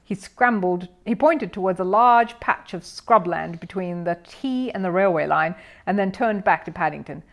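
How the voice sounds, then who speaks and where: A woman's voice: on the low side, normal speed, creaky. A woman narrating a children's storybook as a scripted reading, in the role of storyteller. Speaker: storyteller, audiobook reader.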